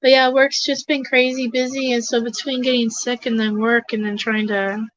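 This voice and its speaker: A hoarse, congested woman hosting a livestream, rambling casually with lots of fillers and restarts. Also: fairly high pitch, flat delivery, normal speed, dull audio. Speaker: host of an advocacy livestream. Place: home desk.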